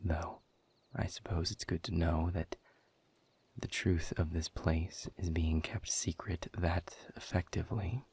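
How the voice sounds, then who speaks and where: A world-weary demon in charge of a haven, acted out by a man in an audio roleplay. He speaks slowly and very low, drawling sarcasm in polished, wordy sentences. The voice is heavily husky and breathy. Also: fairly even intonation, a little mellow, full character voice. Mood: grim, bitter. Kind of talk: scripted audio roleplay monologue addressed to the listener.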